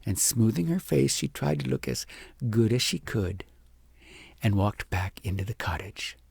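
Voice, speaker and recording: A man reads a storybook aloud with dramatic, deliberate phrasing. His pitch moves widely, and the voice is husky and creaky at the edges. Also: very animated, darkish tone, no room sound. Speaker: audiobook narrator. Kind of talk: expressive storybook narration.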